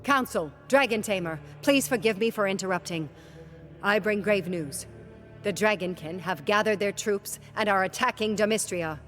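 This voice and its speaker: A woman's voice: medium pitch, normal speed, a bit bright, faint music behind, close to an everyday voice. A woman playing a dutiful, grave military officer in a fantasy game, speaking formally as in a briefing. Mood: grave, urgent. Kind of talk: dramatic fantasy game cutscene acting.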